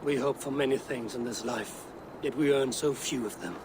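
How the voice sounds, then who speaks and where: An actor playing a stoic, principled Viking warrior in a video game, solemn and restrained. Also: male voice, medium pitch, moderate pace, background noise, natural voice. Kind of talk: dramatic video game acting.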